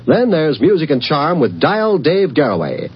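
An American man delivering scripted 1950s promotional announcements as a network radio announcer, with a husky voice. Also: a little high, wildly swooping pitch, moderate pace. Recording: dull old radio broadcast.

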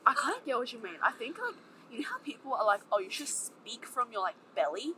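A young woman co-hosting a podcast in casual chat, talking with lots of "like" and animated retelling. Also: fairly high pitch, fairly quick, a little hiss or hum, low-quality recording. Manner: chatty, heavy use of "like", animated storytelling. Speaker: podcast co-host.

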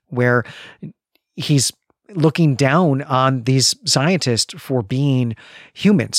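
A man with a medium-pitched voice talks through literary analysis as a podcast co-host. He is thoughtful and conversational, layering clause on clause and rewording as he builds a point. The recording is dry, with hardly any room sound. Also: no echo.

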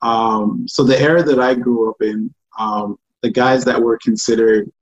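A relaxed man with a husky, slightly rough voice answers questions on a podcast. He pauses often with "um" as he thinks. The audio is dull and lo-fi. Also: mid-range voice. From born in Ghana, raised in Toronto. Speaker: guest, artist. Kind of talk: podcast interview.

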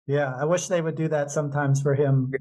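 A man's voice: a little high, steady tone, a little fast, dark tone. A man with an American accent interviewing a guest casually as podcast host, informal, agreeable and encouraging.

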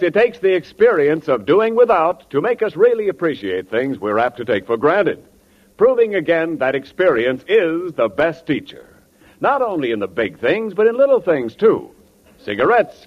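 An American man announcing in polished, emphatic 1940s radio style, reading a scripted advertisement. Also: mid-range voice. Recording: dull old 1940s radio recording.

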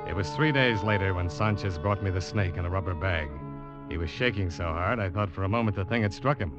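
An actor playing a determined but uneasy American snake hunter, narrating his expedition with dramatic, deliberate delivery in an old radio drama. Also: sits low, close to an everyday voice. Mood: brooding narration. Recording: muffled old radio recording with music.

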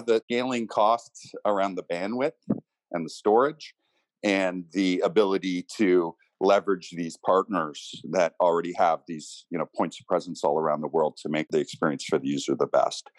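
A man with a fairly low voice explains technical matters as a panel guest. He is conversational and a little halting, and the sound is dry, with no room echo.

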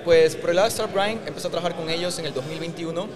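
A young man from Ecuador, raised in the United States, talks quickly in a slightly high voice. His speech is informal and full of fillers. He is recorded outdoors with crowd noise behind him. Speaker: guest, growth agency founder. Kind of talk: casual interview talk.

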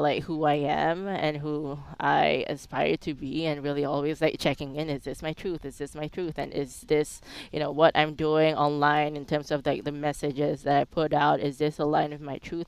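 A woman's voice: low-pitched, gravelly, clean tone, no room sound. A woman from Singapore talking as an interview guest, candid and introspective in casual conversation, often dropping in "like" and "you know".

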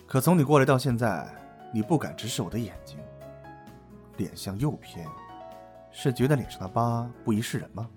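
A man's voice: medium pitch, big pitch swings, moderate pace, a little mellow, soft background music, close to an everyday voice. An actor playing a cool, exacting male office supervisor in an audio drama, curt and authoritative. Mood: cool, probing. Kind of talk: Chinese audio drama acting.

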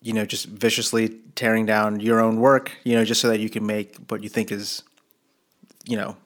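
A man's voice: lowish voice, flat delivery, moderate pace, fairly bright tone. A man interviewing a singer in a casual chat, hesitant and rambling with many fillers.

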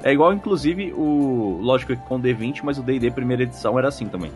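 A man's voice: mid-range voice, animated, fast, darkish tone. A man with a Brazilian accent hosting a podcast, chatting casually in a jokey, slangy way and reading listener comments. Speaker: podcast host. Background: background music.

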